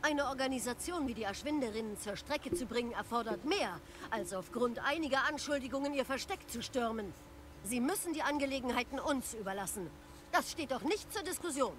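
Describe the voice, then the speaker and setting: A woman's voice: high-pitched, lively intonation, a little fast, slight background noise. Stern, authoritative female officer. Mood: firm, admonishing. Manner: formal, admonishing, firm. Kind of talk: video game voice acting.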